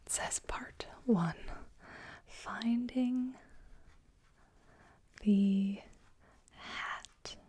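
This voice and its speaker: A woman's voice: on the high side, fairly even intonation, drawn-out words, pauses often, breathy edge. A young woman whispering children's books aloud as ASMR, with amused asides. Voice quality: soft whisper. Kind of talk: ASMR storybook reading.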